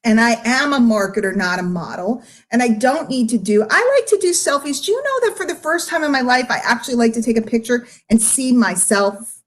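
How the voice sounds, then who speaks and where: A woman's voice: fairly high pitch, expressive, fairly quick. A middle-aged American woman giving a candid, off-the-cuff monologue to her followers, emphatic and confrontational, repeating phrases for stress. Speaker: online marketer speaking to followers.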